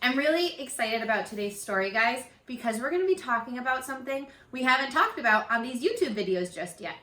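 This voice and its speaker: A woman teacher giving a read-aloud lesson for young children, expressive and encouraging, asking questions as she goes. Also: a little high, fast talker. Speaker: elementary school teacher. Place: living room at home. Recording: roomy, echoey home video.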